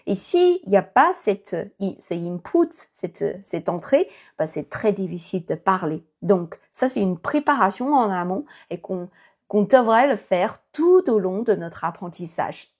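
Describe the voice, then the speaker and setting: Breathy woman teacher. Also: medium pitch, sing-song, unhurried. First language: Chinese. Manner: many fillers, thoughtful, encouraging. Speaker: Chinese teacher. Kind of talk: casual video lesson.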